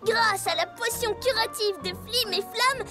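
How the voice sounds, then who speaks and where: Very high-pitched little filly. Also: female voice, a little slow, loud music behind the voice. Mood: proud, excited. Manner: earnest, childlike. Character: little filly, sweet, trusting granddaughter pony. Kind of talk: French-dubbed children's cartoon acting.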